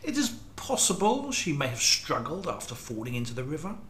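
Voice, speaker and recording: A man playing a formal, measured chief coroner reporting findings in a radio drama. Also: on the high side, big pitch swings, a bit bright. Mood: formal, grave. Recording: roomy, echoing sound.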